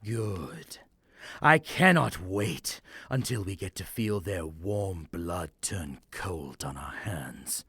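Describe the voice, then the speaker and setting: Evil cult cleric, low, breathy husk, slow, menacing, theatrical, fantasy audio drama. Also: male voice, lively intonation, a bit bright, no echo, exaggerated voice. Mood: gloating. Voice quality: very husky, breathy. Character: sinister male necromancer cleric, gloating, devoted cultist.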